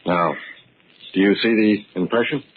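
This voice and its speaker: An actor playing a middle-aged police inspector, brusque and skeptical, in 1940s radio acting on a muffled old radio recording. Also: male voice, on the low side, measured pace, slightly rough. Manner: brusque, no-nonsense questioning. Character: police inspector, older man, gruff and skeptical.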